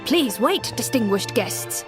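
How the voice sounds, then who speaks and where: A woman's voice: a little high, animated, slow, pitched above the performer's own voice. A woman voicing a polite, deferential royal guard in a video game story. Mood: courteous. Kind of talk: video game role-played story dialogue. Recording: faint music behind.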